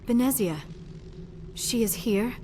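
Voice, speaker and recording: A woman's voice: a little high, slow, a little hiss or hum. A woman playing a shy, earnest young alien scientist in a video game, speaking formally and carefully. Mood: shaken. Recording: loud music behind the voice.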